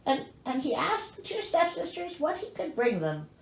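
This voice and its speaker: A woman's voice: sits high, gravelly. A woman reading a storybook aloud as host, with theatrical delivery and sarcastic, incredulous comic asides. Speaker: host reading a fairy tale with commentary. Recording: echoey, roomy sound.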